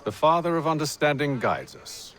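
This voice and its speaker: An actor playing a cool, cunning, middle-aged aristocratic father in a video game, British, with formal, measured, clipped diction. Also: a little high, sing-song, a bit bright, a little hiss or hum. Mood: cool, composed. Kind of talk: video game cutscene acting.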